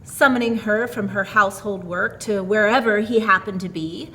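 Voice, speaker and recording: A woman storyteller reading a tale expressively, with dramatic, deliberate phrasing. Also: mid-range voice, bright, forceful tone, slight background noise.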